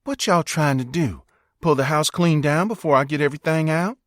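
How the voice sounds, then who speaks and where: Man putting on a high-voiced, feisty country mother. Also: no room sound, studio quality, close to an everyday voice. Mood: exasperated, bossy. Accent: rural American. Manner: scolding, brisk, folksy. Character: feisty, practical country mother, bossing her boys.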